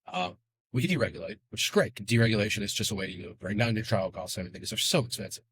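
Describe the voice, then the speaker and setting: Rapid-fire male podcast guest. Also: fairly low pitch, breathy edge, no room sound. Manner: rapid, animated explaining with quick asides. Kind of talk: casual podcast interview.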